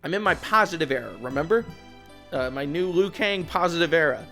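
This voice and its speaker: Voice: medium pitch, lively intonation, a little fast, fairly bright tone. A video essayist delivering scripted commentary with informal, wry asides. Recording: music behind the voice. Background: background music.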